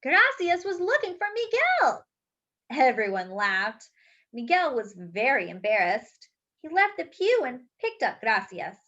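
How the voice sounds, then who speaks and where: Storybook reader, female. High-pitched, lively and expressive, acts out dialogue. Lo-fi sound. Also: unhurried. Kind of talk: expressive picture-book read-aloud with chatty asides. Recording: dull lo-fi recording.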